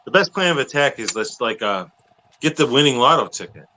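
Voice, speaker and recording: A man's voice: mid-range voice, expressive, a little fast, pushed and bright, slight background noise, low-quality recording. A man chatting casually, laid-back and laughing along with his friends. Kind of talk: casual chat.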